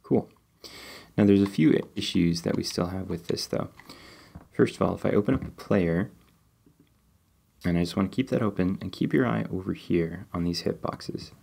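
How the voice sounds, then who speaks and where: Deep-voiced, mellow, slightly sniffly male tutorial host; easygoing, explains as he clicks. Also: normal speed, gravelly, husky. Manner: relaxed, step-by-step, thinking aloud with fillers. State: sniffly, getting over a cold. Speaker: game development tutorial host. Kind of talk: screen-recorded coding tutorial.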